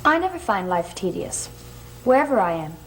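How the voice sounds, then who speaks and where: A woman's voice: medium pitch, sing-song, moderate pace, fairly bright tone, slight background noise, low-quality recording. An actress playing an otherworldly, self-possessed young woman in a gothic television soap, with a cool, enigmatic manner. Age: young adult. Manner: cool, enigmatic, declining politely. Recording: old TV soundtrack with organ music.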